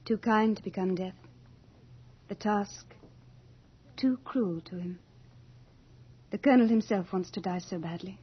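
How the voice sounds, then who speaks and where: Young Lady Death, husky and yearning. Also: medium pitch. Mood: cool, judging. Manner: theatrical, deliberate, breathy at moments. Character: young, beautiful female Death, capricious, yearning to be mortal. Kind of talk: radio horror drama acting. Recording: dull old radio drama with music.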